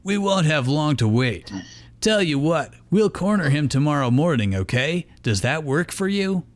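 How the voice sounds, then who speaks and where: A man voicing a calm, practical young man who placates and reasons with his group in a story reading. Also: on the high side, moderate pace, voice pushed up. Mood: calm, placating.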